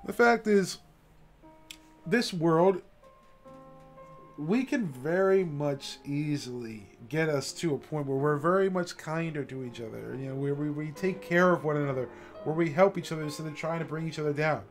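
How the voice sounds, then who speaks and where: A man with a somewhat raised pitch delivers a passionate, emphatic monologue on a late-night stream. He often stops to check in with a pointed "okay?". Soft music plays faintly in the background. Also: normal speed. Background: faint classical music.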